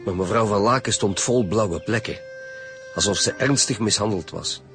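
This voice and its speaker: An actor playing a businesslike chief inspector, probing and firm, with a Flemish accent in a radio drama. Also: male voice, fairly low pitch, normal speed, fairly bright tone, soft background music. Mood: firm, suspicious. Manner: formal, probing questioning. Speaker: actor in a radio crime serial.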